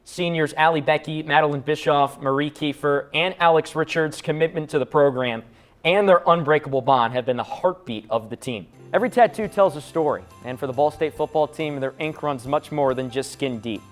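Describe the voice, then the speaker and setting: A man's voice: fairly high pitch, a little fast, faint music behind. A man presenting scripted sports feature introductions as a studio host.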